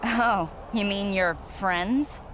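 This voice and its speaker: A woman's voice: mid-range voice, extremely slow, noisy background. An actress playing a scornful, cocky female wrestling rival in a video game cutscene, with a sarcastic, mocking delivery. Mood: dismissive, sarcastic.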